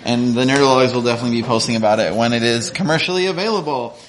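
A man's voice: medium pitch, racing, poor audio. A man hosting a live storytelling event, introducing the next speakers in an upbeat, casual way. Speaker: event host.

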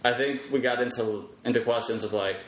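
A man in a casual explanatory chat as a podcast guest, talking in a halting way as he thinks aloud. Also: mid-range voice, steady tone. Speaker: podcast guest, web developer. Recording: echoey room with faint background noise.

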